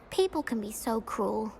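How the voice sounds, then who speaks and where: High, swooping, aggrieved British schoolgirl. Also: animated, slow pace, vocal fry, a little mellow, slight background noise, exaggerated voice. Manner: dramatic, plaintive, haughty. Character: aggrieved, self-pitying schoolgirl, indignant and prim. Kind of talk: video game cutscene acting.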